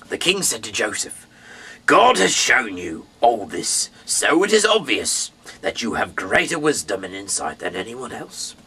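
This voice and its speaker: A man from the UK reading scripture aloud in a dramatic, halting way, swinging between emphatic bursts and breathy, hushed delivery. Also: lowish voice, sing-song. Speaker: Bible reader, amateur narrator.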